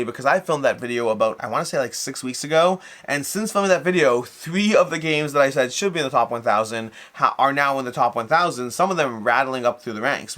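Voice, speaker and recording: Fast-talking man, rambling video host. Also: mid-range voice, bright, forceful tone. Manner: talkative, rambling, often rephrases and qualifies himself. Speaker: host of a board game review channel. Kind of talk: casual unscripted video recap.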